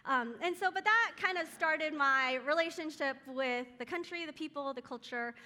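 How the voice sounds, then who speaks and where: A woman whose first language is Chinese speaks to a church group in a bright, fairly high voice. She talks in an earnest, hesitant way, filling pauses with ums. Her voice rings out with a long reverb in the room. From Taiwan or China, raised in Seattle area. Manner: hesitant, many ums, soft-spoken and earnest. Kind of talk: casual talk to a group.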